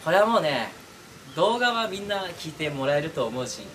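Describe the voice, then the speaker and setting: Animated young man, distant noisy recording. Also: fairly high pitch, normal speed. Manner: chuckles between phrases, informal. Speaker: voice-acting content creator. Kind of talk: casual chat to viewers. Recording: distant mic with clear background noise.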